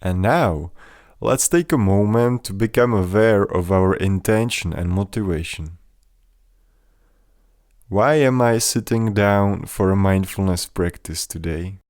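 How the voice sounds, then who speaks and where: Slow, low-voiced man guiding meditation. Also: no echo, studio quality. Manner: gentle, measured, instructional. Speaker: meditation guide. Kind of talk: guided mindfulness meditation.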